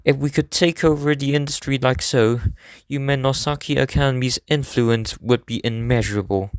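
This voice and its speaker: A man acts as a serious teenage idol student in an anime fan dub. His voice is smooth and clear in a dry, close recording. He explains things earnestly, in formal, measured sentences. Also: medium pitch, steady tone, no echo, lightly acted. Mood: earnest, thoughtful. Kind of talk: scripted anime game fan dub acting.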